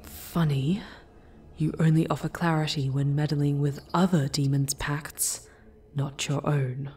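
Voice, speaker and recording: A woman voicing an ancient female demon, proud but remorseful, in a dramatic ASMR roleplay with hushed, halting delivery. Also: low voice, steady tone, far from the mic. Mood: wry.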